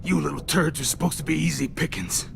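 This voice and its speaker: An actor playing a wounded middle-aged raider held captive in a video game, with a gravelly voice and a taunting, profane, menacing manner. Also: male voice, fairly high pitch, animated, quick pace, music in the background. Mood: grim, defiant.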